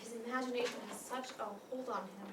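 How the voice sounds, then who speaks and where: A woman's voice: on the high side, steady tone, fairly quick, close to an everyday voice. Lady acting firm probing shrink in a play, stern, questioning, echoey and far from the mic. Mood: brisk, authoritative. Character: stern, probing female psychiatrist on night rounds. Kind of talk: staged play reading. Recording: echoey room, distant mic, clear noise.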